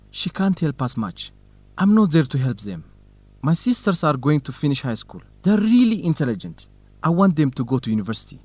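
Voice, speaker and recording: A man's voice: fairly high pitch, darkish tone, no room sound. An actor playing a dutiful, determined young man from Ethiopia in a radio drama for English learners. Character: young Ethiopian farm son, dutiful, determined, quietly lovestruck.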